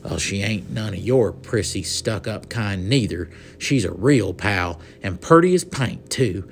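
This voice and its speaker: A man's voice: lowish voice, animated, a little slow, a little hiss or hum. A man voicing a tiny, self-pitying cowboy with a twangy drawl. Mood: wistful.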